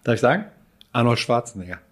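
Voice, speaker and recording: A man's voice: medium pitch, very animated, quick pace. A man playing a guessing game with a friend, thinking aloud with hesitant fillers and laughing. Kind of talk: casual game chat.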